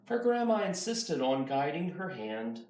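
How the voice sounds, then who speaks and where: A man's voice: on the high side, very animated, unhurried, a little mellow. A man reading a children's picture book aloud as a nursery school teacher. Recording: roomy, far from mic, faint noise.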